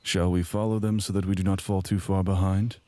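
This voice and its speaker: A man acting as a formal knight with a low, mellow voice. He is composed and dryly observant, recorded very dry, in fantasy audio drama style. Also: flat delivery, brisk, no room sound, natural voice.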